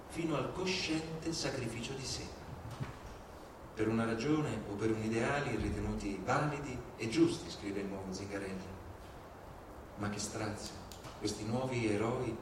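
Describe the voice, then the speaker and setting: Measured male reader in very echoey hall. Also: medium pitch. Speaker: stage reader. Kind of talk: scripted reading of an essay. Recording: very echoey hall, distant, noisy.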